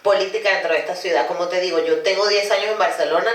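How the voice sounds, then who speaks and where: A woman's voice: on the low side, steady tone, rapid-fire. A woman from Venezuela in her thirties hosts a conversation, thinking aloud in long stretches and often checking in with "¿no?". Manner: talks in long, reflective runs with many "¿no?" tags. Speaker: podcast host. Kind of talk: casual conversation. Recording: roomy sound from a distant mic.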